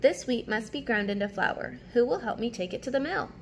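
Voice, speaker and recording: A hardworking storybook hen, voiced by a woman reading aloud, speaks in a fairly high voice with some creak. She is emphatic and self-reliant, putting things plainly for children. Light noise sits behind the voice. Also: expressive, fairly quick. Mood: asking.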